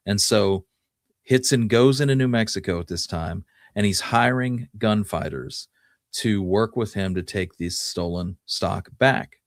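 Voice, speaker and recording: Man, low-pitched, podcast host, casual history narration, detailed explaining, dry studio-like sound. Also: a little slow, no echo.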